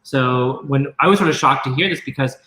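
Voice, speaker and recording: Quick American man, roomy sound. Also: medium pitch, sharp tone. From Texas, United States. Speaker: interview guest. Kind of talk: casual conversational storytelling.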